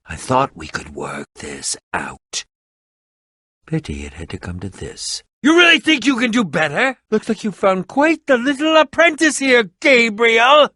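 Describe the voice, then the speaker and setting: An actor playing a haughty, theatrical, hot-tempered schemer in a video game, with sneering, melodramatic delivery and grandiose phrasing. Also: male voice, very high-pitched, sharp tone, no room sound, clean studio sound, exaggerated voice. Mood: cold menace, then scornful mockery. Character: pompous, theatrical, hot-tempered scheming potion-brewing man, bitter rival. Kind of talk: animated video game voice acting.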